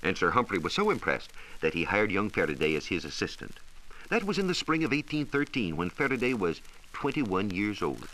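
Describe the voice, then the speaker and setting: An American man presenting a scripted 1940s educational radio science talk with measured, emphatic storytelling. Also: fairly low pitch, sing-song, fairly bright tone, rough recording. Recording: hissy 1940s radio transcription recording.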